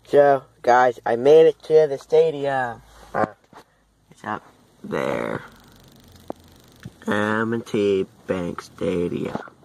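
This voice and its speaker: A man's voice: mid-range voice, lively intonation, extremely slow, vocal fry, pauses often, darkish tone. A young man vlogging in casual chat on a noisy handheld phone recording.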